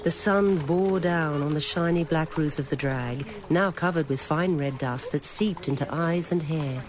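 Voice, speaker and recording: A woman narrating a dramatic scripted story in a deliberate, literary manner. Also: sits low. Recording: noisy old radio recording.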